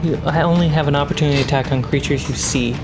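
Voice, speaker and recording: Game master for fantasy tabletop play, mid-register, unhurried dramatic storyteller, strong music bed. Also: mid-range voice, steady tone. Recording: music behind the voice. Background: background music score.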